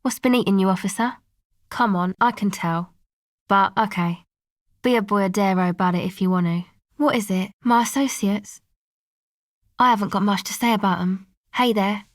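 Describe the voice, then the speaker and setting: A cool, guarded young woman from a video game, played by an actress, talks with casual, slangy understatement. Her voice sits at an average female pitch and is laid-back and dry in delivery. The recording is close and free of room sound. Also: normal speed, dry recording, natural voice. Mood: casual, curious. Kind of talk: video game dialogue acting.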